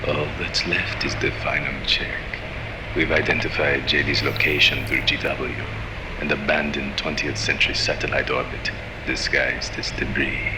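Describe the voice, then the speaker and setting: Extremely deep, sinister henchman. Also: level tone. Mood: coolly assured. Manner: measured, ominous. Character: cold, loyal villain henchman, smooth and sinister. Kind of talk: dramatic video game cutscene acting. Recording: loud noisy rumbling ambience.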